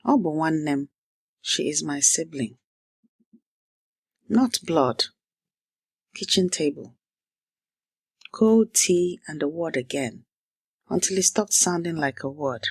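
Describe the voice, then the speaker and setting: Slow, husky-voiced woman narrating a lesson. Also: sits low, dry recording. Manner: clipped, measured fragments with deliberate pauses; repeats target phrases slowly for learners. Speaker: language teacher and host. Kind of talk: scripted story narration and language drill.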